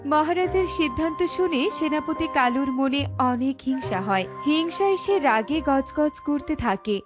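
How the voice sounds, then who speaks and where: A woman's voice: high-pitched, expressive, unhurried. A woman reading scripted narration for a children's cartoon story, with music behind her.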